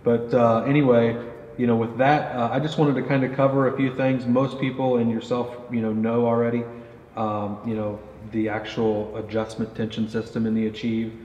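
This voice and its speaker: A man's voice: medium pitch, little pitch movement, moderate pace, a little mellow. Man explaining gear in a roomy range. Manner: casual, many fillers, explaining gear. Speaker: company pro staff interviewer. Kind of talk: product interview. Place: indoor archery range. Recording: roomy echo, dull, faint noise.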